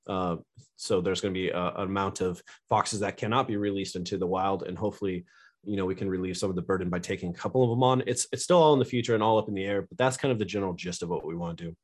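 A young American man from California, a podcast guest who talks loosely and jokes a lot. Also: low voice. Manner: joking, loose and candid, laughs often. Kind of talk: casual interview chat.

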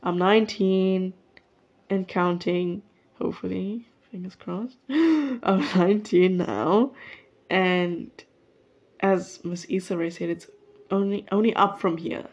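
A woman's voice: lowish voice, takes it slowly, pauses often, a little mellow. Rambling young woman podcaster, lo-fi. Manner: rambling, chatty, self-interrupting. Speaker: podcast host. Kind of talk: casual solo podcast chat. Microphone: mic plugged into a phone.

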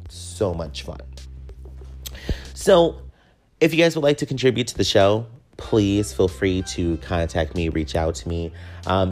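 A man with a deep, low voice hosts a personal podcast. He speaks in a casual, candid way, as if chatting directly with his listeners, and swears freely. Faint music sits behind his voice. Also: a little mellow. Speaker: podcast host.

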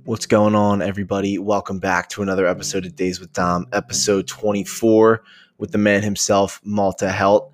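A young man hosting a casual interview podcast, energetic in his intro and relaxed when asking questions. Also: fairly low pitch, moderate pace, soft background music. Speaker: podcast host.

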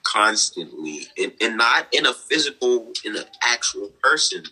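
A man in his twenties, a guest on an interview, talking earnestly about his life with many fillers and soft laughs over a remote call. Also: mid-range voice, very animated, normal speed, poor audio. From United States. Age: 20s. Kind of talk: conversational interview, personal story.

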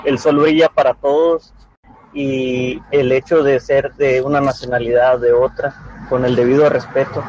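A man hosting an interview conversationally, haltingly repeating words as he shapes his thoughts. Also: medium pitch, darkish tone. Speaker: interviewer, program host. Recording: dull lo-fi sound with background noise.

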